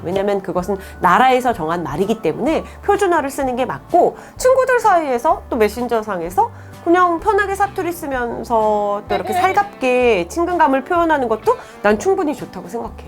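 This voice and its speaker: A woman Korean-language teacher, around her thirties, giving friendly advice to students and reading their letters aloud in a chatty, encouraging way, often laughing. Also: high voice. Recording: faint background music.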